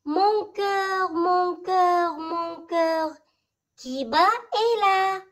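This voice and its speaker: An extremely high, child-like voice recites a poem very slowly. The lines come in short, measured phrases with pauses between them. It is delivered as a school recitation meant for learning by heart. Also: fairly bright tone. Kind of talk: slow poem recitation for memorization.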